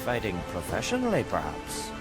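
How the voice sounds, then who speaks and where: An actor playing a wordy, persuasive fight promoter in a fantasy video game. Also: male voice, fairly low pitch, wildly swooping pitch, moderate pace. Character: smooth-talking fight promoter scouting a champion. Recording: music behind the voice. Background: game music.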